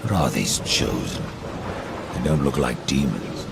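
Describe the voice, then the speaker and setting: An actor voicing a grim, defiant rider of death in a video game, slow and sardonic with a menacing edge. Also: male voice, lively intonation, very noisy, heavily acted. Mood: curt, questioning. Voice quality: very deep gravelly voice. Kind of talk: video game cutscene acting.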